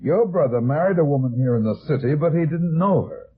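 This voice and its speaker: An actor playing a brisk, probing private detective in a 1950s radio drama, with clipped questions and a muffled vintage sound. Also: male voice, fairly high pitch, expressive, breathy edge. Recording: muffled old radio broadcast recording.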